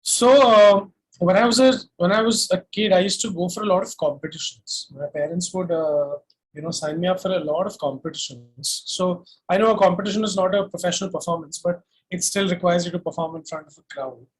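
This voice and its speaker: Male interview guest, Indian accent, slightly high husky voice, reflective, unhurried storytelling with hesitations. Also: rough recording. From Delhi, India. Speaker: singer-songwriter being interviewed. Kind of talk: casual reminiscing in an interview.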